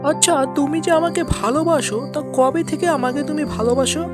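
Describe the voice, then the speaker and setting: A scornful young woman from a cartoon love story, acted out by a man, speaks in a high voice over loud music. She is haughty and insulting toward her poor admirer. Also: moderate pace.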